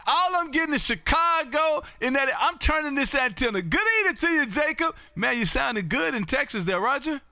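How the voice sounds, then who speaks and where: Bright, high-pitched American ham radio man. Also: normal speed, dry recording. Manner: animated, folksy, booming delivery. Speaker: amateur radio host. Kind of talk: live ham radio contact chat.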